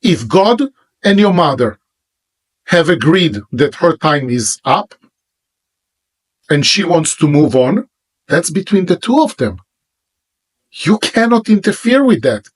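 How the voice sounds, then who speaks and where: A man with an Israeli accent, a native Hebrew speaker, preaching about prayer in an emphatic monologue with pauses between phrases. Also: a little high, very animated, breathy edge. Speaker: religious teacher. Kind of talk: monologue sermon.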